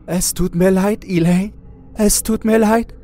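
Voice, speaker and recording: A man's voice: fairly even intonation, measured pace, mellow, faint music behind. A man voicing a desperate, apologetic young woman in a horror audiobook, anguished and urgent. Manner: anguished, urgent, high-pitched.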